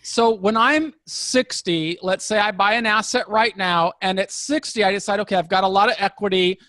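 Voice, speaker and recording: A man in his fifties with a notably high voice, recorded dry with very little room sound. He speaks as a conversational interviewer, curious and deliberate. He often restates a point to check that he has it right. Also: pushed and bright, dry recording. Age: 50s. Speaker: interviewer asking about retirement investing.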